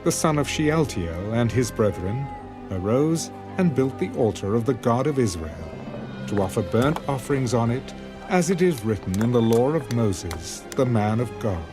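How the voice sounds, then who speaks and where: A man narrating scripture for a dramatised audiobook in solemn, measured phrases. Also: mid-range voice, expressive. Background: music.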